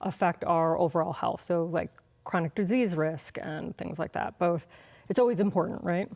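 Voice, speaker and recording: A woman's voice: on the low side, normal speed, a little mellow. An American woman, a scientist guest, explaining her work conversationally with casual, chatty phrasing in a studio interview. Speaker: biological anthropologist and museum curator, interview guest. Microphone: clip-on lapel mic.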